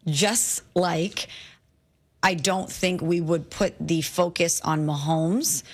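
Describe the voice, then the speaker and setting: A woman's voice: lowish voice, slow pace, husky. A woman co-hosting a sports talk show, pushing back on her colleagues in a dry, casual way. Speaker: sports radio co-host.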